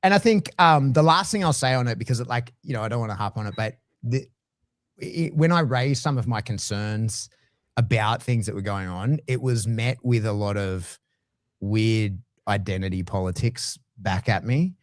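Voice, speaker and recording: Man, Australian accent, middle-aged, blunt, casual, low-pitched, dry sound. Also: moderate pace, no room sound. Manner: blunt, swears freely, animated pitch swings. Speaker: guest dog trainer. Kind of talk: casual conversation.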